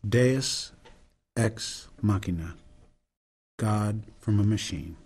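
Radio drama: solemn male activist, acted by a man, low, mellow, disillusioned, poetic delivery. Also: slow, slightly rough. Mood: grave, wistful.

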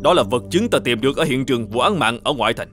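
Stern male governor, acted by a man, cartoon folk tale, formal, accusatory, commanding, lively intonation, music behind. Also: mid-range voice, fast, lightly acted. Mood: stern, accusing. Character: stern, shrewd male governor judging a murder case.

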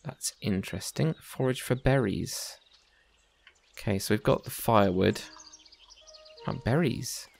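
Low, creaky-voiced man chatting over gameplay. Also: a little slow, pauses often. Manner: thinking aloud, chatty. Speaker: let's play host. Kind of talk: casual game commentary.

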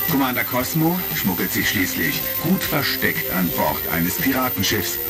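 A low male voice narrates an old-style sci-fi radio play. His scripted delivery moves animatedly in pitch, over clearly audible music.

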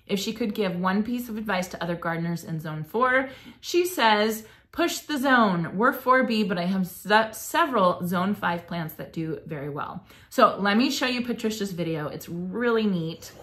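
A woman's voice: moderate pace, fairly bright tone. A woman in her thirties hosting a gardening show from her home office, chatting casually and gushing over viewers' gardens. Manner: gushing, enthusiastic, exclamations with rising pitch. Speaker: gardening channel host. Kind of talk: casual chat reading viewer submissions.

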